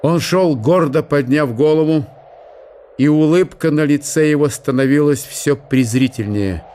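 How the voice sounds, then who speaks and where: A man's voice: a little high, unhurried, warm tone. Male narrator of a radio drama.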